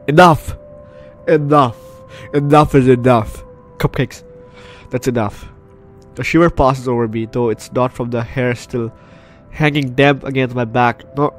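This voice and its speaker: A man hosting a gaming video while getting over the flu, reading and reacting aloud in a dramatic way with repeated phrases. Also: mid-range voice. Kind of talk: let's play commentary, reading game text aloud. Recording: game music behind the voice.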